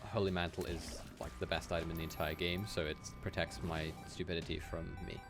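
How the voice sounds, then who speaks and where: A man's voice: sits low, little pitch movement, brisk, over music. A young man from Wales with a general British accent, chatting casually through gameplay commentary and often laughing as he talks to his viewers. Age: young adult. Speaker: game streamer. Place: indoor room at home.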